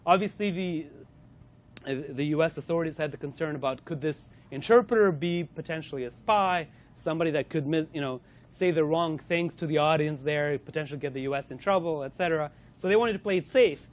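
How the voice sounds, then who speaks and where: Man, corporate presenter, playful quiz host, slightly high voice, lots of fillers. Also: a little fast. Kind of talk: corporate lunch-and-learn talk.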